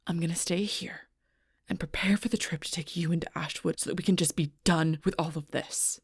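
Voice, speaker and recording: A woman playing a fierce, protective alpha werewolf in an audio roleplay, bitter and confrontational, with a breathy, rough-edged voice. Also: on the low side, steady tone, a little fast, no room sound, studio quality. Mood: bitter, irritated. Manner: intense, confrontational, swearing, often dropping to near-whisper. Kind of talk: audio roleplay acting addressed to the listener.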